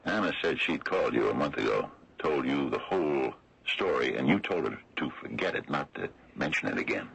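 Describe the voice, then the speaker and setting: Very deep, gravelly wry lawman. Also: lively intonation, fairly bright tone, slight background noise, heavily acted, rough recording. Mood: dry, pointed. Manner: deliberate, folksy, sardonic, hesitant drawn-out delivery. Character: wry, slow-talking older small-town lawman exposing a cover-up. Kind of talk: 1970s radio mystery acting. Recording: old radio drama broadcast recording.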